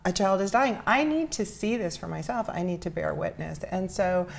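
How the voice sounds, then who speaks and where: A middle-aged woman with an American accent, telling her personal story earnestly and reflectively as an interview guest, pausing between phrases. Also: fairly low pitch, creaky. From New York City, United States. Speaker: interview guest, charity founder.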